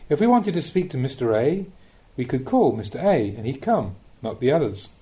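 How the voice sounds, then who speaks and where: Male narrator for spiritual audiobook: soft mellow tone, animated pitch movement, measured teaching delivery. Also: slight background noise. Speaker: narrator reading Buddhist teachings. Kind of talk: audiobook reading of a spiritual talk.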